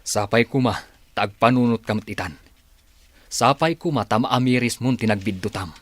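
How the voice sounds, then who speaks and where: An actor playing a sober, reproachful husband in a radio drama, speaking earnestly and gravely. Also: male voice, medium pitch, normal speed, no echo, lightly acted.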